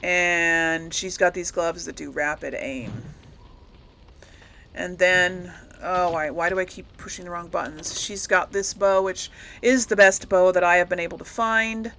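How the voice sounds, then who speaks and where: A woman's voice: fairly low pitch, fairly even intonation, a little slow, fairly bright tone, slight background noise. A woman giving casual, rambling commentary as she plays a game, often correcting herself and lightly amused. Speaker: gaming host.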